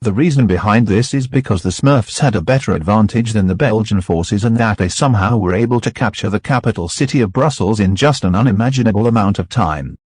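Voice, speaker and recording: A man plays a sober breaking-news anchor in a web drama. His voice is fairly low and slightly rough, recorded dry. He reads a scripted bulletin formally. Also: fairly quick, hardly any pauses, darkish tone, no echo. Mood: grave.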